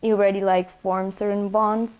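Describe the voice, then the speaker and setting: A woman's voice: mid-range voice, level tone, normal speed, darkish tone, lo-fi sound. A young woman from Ecuador, a college student, answering interview questions in a casual, conversational way with frequent "you know". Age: young adult.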